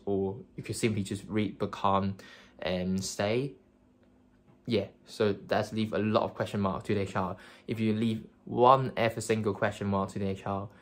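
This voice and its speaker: Low flat-voiced young man. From Hong Kong. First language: Cantonese. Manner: earnest, many fillers and restarts. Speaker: career advice vlogger. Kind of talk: casual advice talk to camera.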